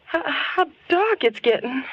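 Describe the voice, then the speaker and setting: A naive young city girl in a 1940s-style radio play. Her high, swooping voice is gushy, excitable and timid. It comes through a dull, slightly noisy old recording. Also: measured pace. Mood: frightened. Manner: girlish, gushing, excitable. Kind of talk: 1940s radio drama acting.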